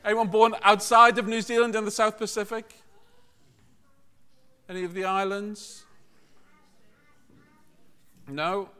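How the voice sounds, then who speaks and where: A man's voice: high voice, steady tone, slow pace, pauses often, bright, forceful tone, smooth. A man from Liverpool preaches to a congregation in a conversational, joking way, often putting questions to his listeners. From Liverpool, England.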